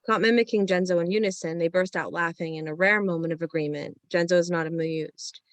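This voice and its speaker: A woman's voice: lowish voice, steady tone, fairly quick, dry recording. Close, dry woman reading screenplay directions. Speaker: stage-direction narrator. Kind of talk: scripted screenplay narration.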